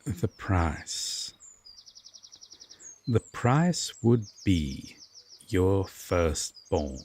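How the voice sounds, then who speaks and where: A man playing an aloof, stern yet secretly tender Fae king in a roleplay audio drama, speaking formally and deliberately with long pauses. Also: low voice, very animated, darkish tone, husky, full character voice. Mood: grave, refusing.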